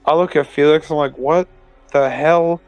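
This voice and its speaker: A man's voice: a little high, fairly even intonation, moderate pace, smooth. A man playing a tabletop roleplaying game, chatting and bantering casually in character. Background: faint music.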